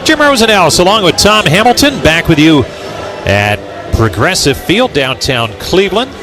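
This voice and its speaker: A man's voice: high-pitched, big pitch swings, moderate pace, music in the background. A man doing live radio play-by-play commentary of a baseball game as the announcer. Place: ballpark broadcast booth. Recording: ballpark outdoor background noise. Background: ballpark ambience, noise.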